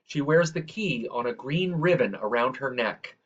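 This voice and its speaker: A man reading a children's story aloud in a measured, expressive way, drawing out the ends of phrases. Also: medium pitch, muffled recording. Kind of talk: read-aloud scripted narration.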